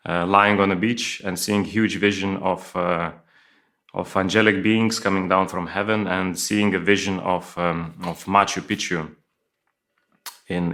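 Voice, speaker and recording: A middle-aged man from Lithuania, telling his life story as an interview guest with many pauses, fillers and restarts. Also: deep voice, sharp tone. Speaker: guest sharing testimony. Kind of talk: personal testimony in an interview.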